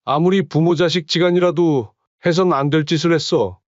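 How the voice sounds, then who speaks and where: Reproving village elder, voiced for an audio story: firm scolding, dry close sound. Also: male voice, on the high side, steady tone, moderate pace, dry recording, muffled recording, natural voice. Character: indignant village head, stern elder.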